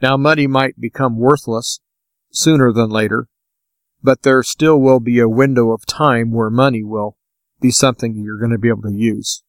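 A man hosting a talk podcast solo, giving practical, plainspoken advice in informal, deliberate phrases with short pauses. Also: mid-range voice, fairly even intonation, no room sound. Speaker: podcast host.

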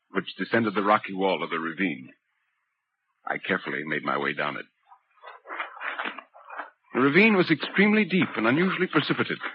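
Dull old radio recording, low-voiced uneasy newspaper reporter acted by a man. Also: background noise, lightly acted. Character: curious, uneasy male London newspaper reporter narrating a ghost story. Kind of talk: 1940s radio acting.

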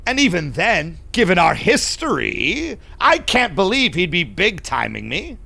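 A man's voice: sits high, wildly swooping pitch, unhurried, creaky, bright, forceful tone, no room sound, full character voice. An actor playing a shady, smug vampire businessman who narrates his audio drama with sly, mock-polite theatricality. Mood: wry, scheming. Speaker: narrating lead character.

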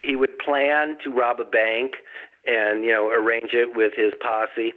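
A man's voice: medium pitch, level tone, a little slow, narrow, tinny audio. A man who is the guest author, telling a story in a casual chat with dry humor and frequent chuckles.

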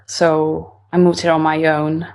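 A woman in her twenties with an Italian accent talks as an interview guest. Her voice is slightly low. She speaks casually and a bit hesitantly, repeating words as she finds her phrasing. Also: completely flat delivery, unhurried, a little mellow. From northern Italy. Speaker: singer-songwriter being interviewed.

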